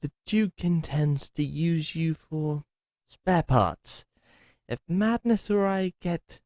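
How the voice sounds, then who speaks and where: A man's voice: medium pitch, no room sound. A man playing a timid masked servant. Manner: soft, hesitant, formal and polite. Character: gentle, timid masked servant of a cruel duke. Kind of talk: ASMR roleplay acting.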